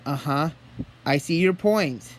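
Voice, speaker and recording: A man talking in an earnest, halting way, as if holding a one-sided phone conversation. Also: a little high, a little hiss or hum. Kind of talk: one-sided pretend phone call, rambling monologue.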